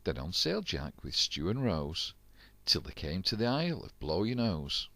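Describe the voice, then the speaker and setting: An older man reads a rhyming picture book for his grandchildren, bouncing along with the rhythm. His voice is deep but swings widely in pitch. The sound is close and dry. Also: normal speed, dry recording. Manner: animated, rhythmic rhyming delivery. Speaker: storyteller reading to grandchildren. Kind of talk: storybook reading aloud.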